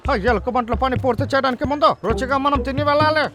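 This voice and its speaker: An actor voicing a boastful, greedy hunter villain in a cartoon dub. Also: male voice, very high-pitched, very fast. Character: greedy scheming male hunter, a bear-chasing villain.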